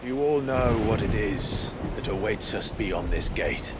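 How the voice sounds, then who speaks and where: A man's voice: fairly low pitch, expressive, measured pace, very noisy. A man playing a resolute, earnest warrior leader in a fantasy game, with a firm, commanding manner. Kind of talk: dramatic fantasy game voice acting. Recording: loud music over dialogue.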